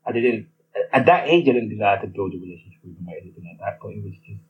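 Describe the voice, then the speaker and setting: A man's voice: on the low side, racing. A young man with a Nigerian accent, telling a personal story in a hesitant monologue with many restarts. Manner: hesitant, lots of restarts and fillers. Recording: slightly muffled with faint ambient noise.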